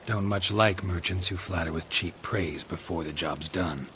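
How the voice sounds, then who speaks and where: An actor playing a weathered, stoic monster hunter in a video game, terse and wry. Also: male voice, sits low, moderate pace, a little hiss or hum. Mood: firm. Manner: deadpan, terse.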